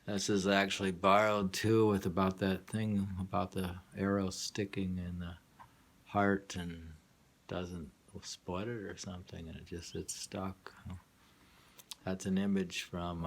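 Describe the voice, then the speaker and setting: A man reading his own poems aloud in a halting, musing way, with muttered asides. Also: low-pitched, flat delivery, vocal fry. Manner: halting, musing, hums to himself between lines.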